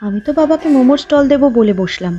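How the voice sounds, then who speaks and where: A woman's voice: high voice, animated, quick pace, dark tone, breathy edge. A woman voicing an earnest young village woman who runs a food stall. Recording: dull lo-fi with music bed.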